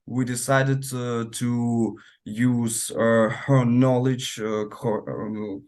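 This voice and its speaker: Slow, halting male musician. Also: medium pitch, fairly even intonation, darkish tone. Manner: hesitant, many fillers and restarts. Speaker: band musician. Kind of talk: halting interview answers.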